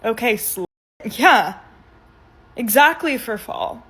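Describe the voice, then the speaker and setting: A woman telling an animated, incredulous storytime to camera. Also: fairly high pitch, takes it slowly, breathy edge.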